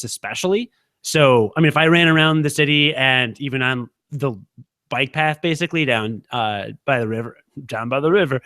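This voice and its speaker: A man in his thirties co-hosting a podcast, chatting casually in a quick, halting way full of fillers. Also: mid-range voice, fairly bright tone, no echo. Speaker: podcast co-host. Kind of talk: casual chat.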